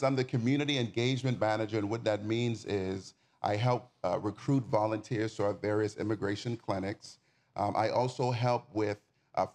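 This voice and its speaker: A man in his forties from the Bahamas, giving a sermon as a guest preacher in an informal, folksy way, speaking directly to the congregation. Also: on the low side. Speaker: guest preacher and nonprofit community manager.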